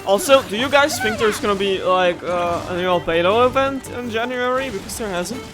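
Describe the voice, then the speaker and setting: A young man doing casual gameplay commentary in a chatty, excitable, rambling way. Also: high voice, normal speed, over music. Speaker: gaming YouTuber.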